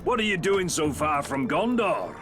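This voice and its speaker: An eccentric dwarf treasure hunter for a fantasy game. He is jocular and folksy, with a very lively swing in pitch. Loud cinematic music plays behind him. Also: moderate pace. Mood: jovial, sly. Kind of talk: game cutscene acting.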